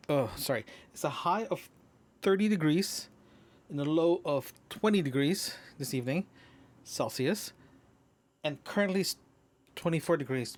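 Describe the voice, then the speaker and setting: A man hosting a casual audio diary, thinking aloud in a halting, rambling way. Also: on the high side. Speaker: podcast host.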